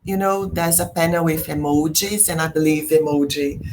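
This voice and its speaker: A woman's voice: deep voice, fairly even intonation, a little mellow, a little hiss or hum. A woman from Brazil, a Portuguese speaker, chatting patiently as a language teacher on a video call. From Brazil, Rio de Janeiro. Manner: slow, patient, laughs often. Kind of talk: casual discussion, teaching words.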